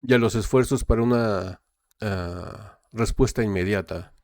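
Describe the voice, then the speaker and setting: A man's voice: fairly low pitch, a little mellow, no echo. Guy translating live on a reaction vid, lots of ehs and estes, dry close sound. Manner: hesitant, filling pauses with "eh" and "este" while translating. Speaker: reaction channel host. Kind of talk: casual live translation and commentary.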